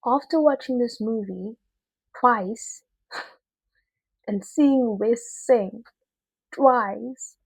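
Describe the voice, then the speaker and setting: A woman giving a casual, chatty video review in an expressive, slangy way with emphatic repetition. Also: a little high, drawn-out words, vocal fry, pauses often, breathy edge, no echo, rough recording.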